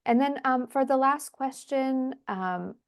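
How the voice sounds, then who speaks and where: A woman's voice: high-pitched, slow pace, smooth, dry recording. Woman hosting a webinar, dry sound. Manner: friendly, filler-heavy with frequent ums. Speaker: webinar host, head of community. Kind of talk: hosting an online Q&A session.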